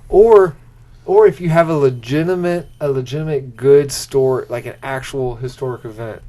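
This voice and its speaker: A man's voice: medium pitch, moderate pace, warm tone. A man co-hosting a podcast in casual chat, insistent and often restarting his sentences. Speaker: podcast co-host pitching movie ideas.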